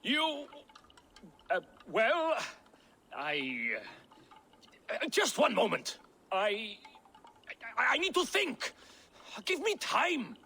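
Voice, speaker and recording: An actor playing a boastful, dim-witted fighter in a game, pompous, theatrical and comically self-important. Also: male voice, extremely high pitch, very animated, very slow, creaky, long pauses, sharp tone, full character voice. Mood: flustered. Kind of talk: comic game cutscene acting. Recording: faint noise behind.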